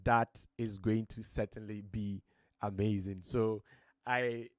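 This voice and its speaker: A Ghanaian man with a deep voice, giving earnest, motivational talk as host of a live stream from his home studio, his voice a little strained. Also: steady tone, slow, no echo. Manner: earnest, motivational, emphatic rises. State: voice slightly strained.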